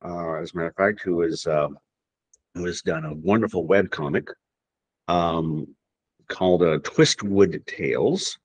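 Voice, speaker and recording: Man, very low voice, rambling podcast guest who keeps restarting his sentences. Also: measured pace, slightly rough. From Tennessee, USA. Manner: rambling, hesitant, with many restarts and fillers. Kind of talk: casual podcast chat.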